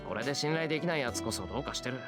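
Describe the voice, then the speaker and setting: A man's voice: medium pitch, expressive, very fast, close to an everyday voice. A Japanese man voicing an earnest, easily flustered teenage boy in a visual novel, with game music behind him. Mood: earnest, insistent.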